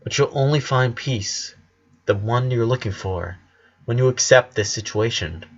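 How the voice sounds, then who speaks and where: A man voicing a calm, understanding male karate sensei in a storybook reading, gentle and measured. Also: mid-range voice, lo-fi sound. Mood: calm, consoling. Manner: gentle, measured, wise counselling.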